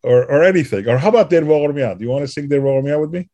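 A man interviewing on a podcast in a conversational, emphatic and deliberate way, with a teasing streak. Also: on the high side, lively intonation, dark tone.

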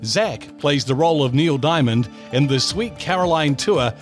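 A man's voice: fairly high pitch, lively intonation, normal speed, faint music behind. New man hosting a podcast. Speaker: podcast host. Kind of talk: casual interview.

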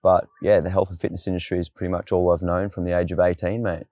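Very low, flat-voiced Australian man. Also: quick pace, dry recording, highs cut off. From Tasmania, Australia. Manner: relaxed, storytelling, says "mate". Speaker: guest, fitness coach. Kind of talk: casual interview chat.

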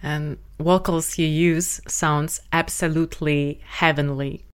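Slow, low-voiced woman interviewer. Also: flat delivery, smooth. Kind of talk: interview questions.

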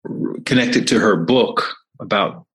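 A man's voice: on the low side, measured pace, fairly bright tone, slightly rough, low-quality recording. Upbeat podcast host man. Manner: enthusiastic, upbeat. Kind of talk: podcast introduction and interview.

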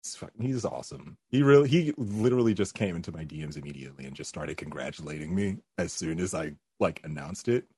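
Husky low-voiced young man, chatty. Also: animated, quick pace, few pauses, darkish tone, dry recording, studio quality. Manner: laughs often, chatty and upbeat. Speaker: voice actor guest. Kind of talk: casual interview chat.

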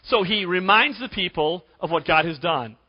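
A pastor preaching a sermon to his congregation while losing his voice, often clearing his throat. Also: sits high, moderate pace. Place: church sanctuary. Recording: dull recording in a reverberant church.

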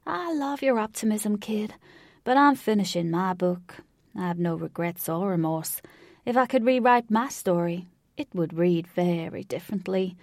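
A woman voicing a large, folksy, jovial elderly man with a slow Southern American cowboy drawl in an audiobook story. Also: medium pitch, expressive, darkish tone, no room sound, clean studio sound, close to an everyday voice. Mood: reflective, folksy.

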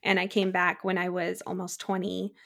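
Chatty woman with a gravelly edge. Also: on the low side, monotone, normal speed, fairly bright tone. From Czech Republic. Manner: chatty, laughs often, trails off mid-sentence. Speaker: guest. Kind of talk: casual podcast interview.

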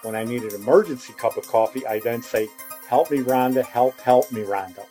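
A chatty podcast host in his sixties drifts from one nostalgic aside to the next. His voice is mellow and dark-toned, sitting at an ordinary pitch for a man. He talks casually, like he's telling stories over coffee. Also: fairly quick, faint music behind. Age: 60s. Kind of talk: casual reminiscing podcast chat.

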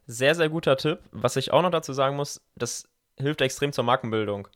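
A man speaks as a podcast host in an average-pitched voice. His delivery is casual and conversational, as he interviews and comments. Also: fairly quick, a bit bright.

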